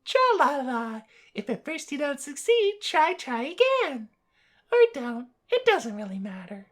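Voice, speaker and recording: A woman's voice: high voice, very animated, measured pace, pitched above the performer's own voice. A woman voicing a cryptic, carefree hooded river ferry person in a visual novel game, sing-song and indifferent. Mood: airy, indifferent.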